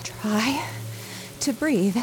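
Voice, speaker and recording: Ominous archaic oracle woman acting, high and slow, solemn incantation for audio horror. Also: animated, over music. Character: ominous prophetic voice of dread, archaic and commanding. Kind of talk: audio horror drama monologue.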